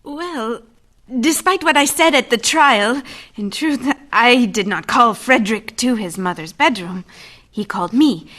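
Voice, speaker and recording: An actress playing a simple young housemaid in a radio mystery, anxious, earnest and defensive. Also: female voice, on the high side, animated, measured pace, bright, forceful tone, full character voice. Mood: confessing, earnest. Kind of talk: radio mystery drama acting.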